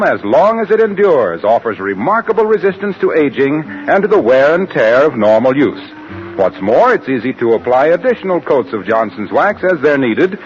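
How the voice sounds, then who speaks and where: A polished male announcer from 1940s radio with a fairly high, very animated voice. He reads scripted copy formally and emphatically on a dull old recording.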